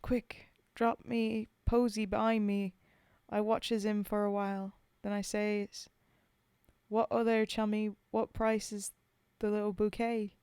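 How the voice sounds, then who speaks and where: A rough, sentimental common soldier from the trenches, read aloud by a woman, speaks his mourning in folksy working-class dialect. The delivery is slow and even, with a mellow, smooth tone and a dry, close sound. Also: mid-range voice, no echo, lightly acted. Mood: wistful. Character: rough common male British WWI soldier, grieving comrade. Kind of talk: poetry reading.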